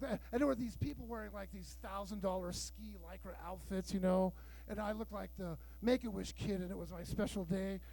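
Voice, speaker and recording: High-voiced man doing bawdy stand-up. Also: vocal fry, low-quality recording. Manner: loud, bawdy storytelling with acted-out dialogue. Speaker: stand-up comedian. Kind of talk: stand-up comedy set. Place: live show room with audience.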